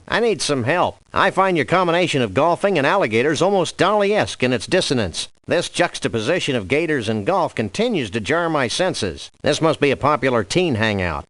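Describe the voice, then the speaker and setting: A man voicing a wry, easygoing dog detective in a cartoon adventure game, with dry wit and wordy, deadpan phrasing. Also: medium pitch, no echo. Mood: dry, inquisitive.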